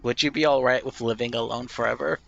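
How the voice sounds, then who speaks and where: Young male gamer, nasal and bright, thinks aloud with rambling, self-deprecating answers. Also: mid-range voice, fairly even intonation, fast talker, gravelly, lo-fi sound. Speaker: gamer playing a question game. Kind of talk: casual reflective commentary.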